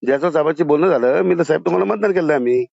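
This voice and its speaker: A dull, lo-fi phone recording of a male caller. He talks quickly in a slightly high voice and keeps pleading and pressing to be heard. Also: creaky, darkish tone, no echo. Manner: insistent, pleading, repeatedly interjecting. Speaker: caller to a politician. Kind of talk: phone conversation.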